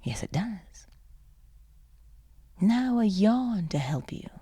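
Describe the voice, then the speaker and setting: A woman guiding a sleep meditation, speaking gently and soothingly in a breathy, creaky half-whisper with lingering pauses. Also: medium pitch, sing-song, no room sound, studio quality. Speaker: meditation guide. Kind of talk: guided sleep meditation, soft instruction.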